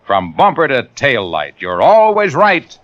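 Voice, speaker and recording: A man's voice: medium pitch, huge pitch swings, unhurried, a bit bright. A man delivering a hearty, salesy 1940s radio commercial. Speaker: radio ad pitchman. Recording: dull old radio recording.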